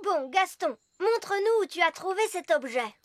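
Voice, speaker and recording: A woman's voice: extremely high pitch, lively intonation, moderate pace, soft background music. A woman voicing a sensible, polite young elf boy in a French cartoon, with an earnest, boyish delivery. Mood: cheerful. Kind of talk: French-dubbed children's cartoon voice acting.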